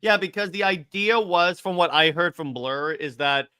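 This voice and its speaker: A man's voice: high voice, moderate pace, sharp tone, clear, resonant tone. A man co-hosting a casual chat show, discussing films and viewer comments. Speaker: co-host reading viewer comments.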